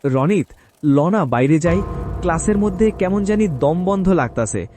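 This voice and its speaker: A man's voice: animated, unhurried, darkish tone. A man playing a timid, fretful schoolboy in a horror audio drama, with a Bangladeshi Bengali dialect. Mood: frightened. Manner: fretful, urgent. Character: timid, anxious schoolboy, easily scared. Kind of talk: acted audio horror story dialogue. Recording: noisy, vehicle rumble behind.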